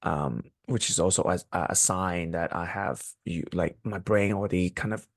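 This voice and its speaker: Soft-spoken man, Cantonese native speaker. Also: low-pitched, no room sound, studio quality. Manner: soft-spoken, halting with many restarts and fillers. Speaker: podcast co-host. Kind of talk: casual conversation.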